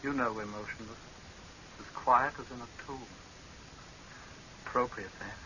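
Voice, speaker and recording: An actor playing a young man who is coldly vengeful, deliberate and mocking, in old-time radio horror style. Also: mid-range voice, fairly even intonation, pushed and bright. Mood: grim triumph. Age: young adult. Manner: deliberate, sardonic, menacingly composed. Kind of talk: 1930s-40s radio horror acting. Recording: muffled, hissy old-time radio recording.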